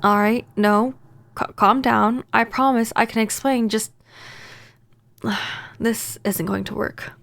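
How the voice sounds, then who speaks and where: Soft-spoken woman acting a shy vampire neighbor, higher-pitched. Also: steady tone, slow pace, vocal fry, pauses often, no room sound, full character voice. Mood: flustered, then soothing, regretful. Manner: hesitant, stammering, earnest. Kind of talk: soft-spoken ASMR audio roleplay.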